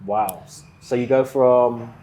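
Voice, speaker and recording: A man hosting an interview, eager and quick to interject with questions and reactions. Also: mid-range voice, mellow. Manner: eager, interjecting, lots of wows. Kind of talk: conversational interview.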